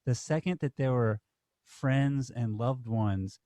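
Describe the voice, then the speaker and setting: A man co-hosting a podcast, a dance teacher speaking in a halting, heartfelt way with many restarts. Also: mid-range voice, warm tone, dry recording. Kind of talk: casual podcast conversation.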